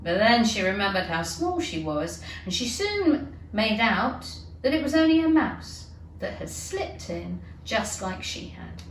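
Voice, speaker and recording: A woman narrating a children's storybook expressively, with dramatic, deliberate phrasing. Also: mid-range voice, big pitch swings. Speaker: teacher reading aloud to children. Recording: echoey room, distant mic, hiss.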